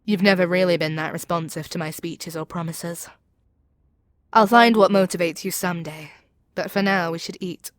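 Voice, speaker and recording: A woman's voice: fairly low pitch, fairly even intonation, normal speed, dry recording, studio quality, lightly acted. A woman playing a proud, seductive demon queen in an ASMR audio roleplay, speaking in an imperious, persuasive, theatrical way. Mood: commanding, taunting. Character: proud, seductive demon queen brainwashing her captive hero.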